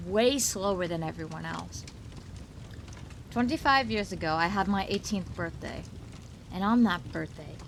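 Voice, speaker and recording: A woman's voice: medium pitch, vocal fry, fairly bright tone, a little hiss or hum. A woman playing an unhinged, gleeful female supervillain in an ASMR roleplay, teasing a captive in a theatrical, giggly sing-song way. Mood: bitter, angry.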